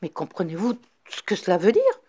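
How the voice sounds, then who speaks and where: A woman voicing a frantic, excitable male newspaper reporter, shrill and breathless with urgency. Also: brisk, slightly rough, exaggerated voice. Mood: excited.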